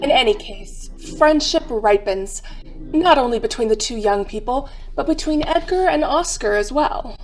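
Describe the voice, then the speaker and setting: A woman with a high-pitched voice narrates a radio mystery. Her delivery is measured, knowing and wry, with faint music behind her. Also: lively intonation, poor audio.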